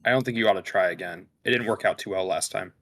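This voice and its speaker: A man playing a cautious, clinical spaceship science officer in a sci-fi script read, with technical, measured wording. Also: deep voice, fairly bright tone.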